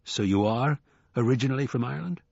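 A wary, laconic male ex-convict, as a man reads him in an audiobook, reasonable and deadpan. His voice is husky and understated, recorded close and slightly dull. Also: mid-range voice, quick pace, dry recording. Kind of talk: audiobook character acting.

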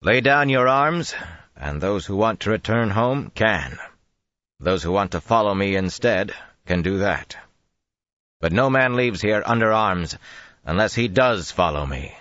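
A man's voice: lowish voice, lively intonation, a little slow, a bit bright, no echo, muffled recording, voice pushed up. A man playing a stern commanding king. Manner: demanding, authoritative.

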